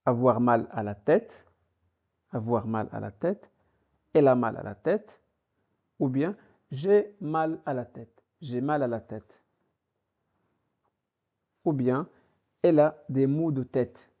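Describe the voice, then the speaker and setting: A man teaching a vocabulary lesson, speaking deliberately and repeating each phrase for learners. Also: medium pitch, animated, dark tone. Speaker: French teacher. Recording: dull lo-fi recording.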